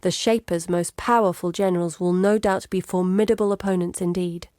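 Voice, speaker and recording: A woman's voice: on the low side, fairly even intonation, fairly quick, dark tone, dry recording, studio quality, close to an everyday voice. An actress playing an earnest, determined woman guide in a video game, with a grave, articulate delivery. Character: earnest, determined woman guide searching for her lost father. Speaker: game character voice. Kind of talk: dramatic video game voice acting.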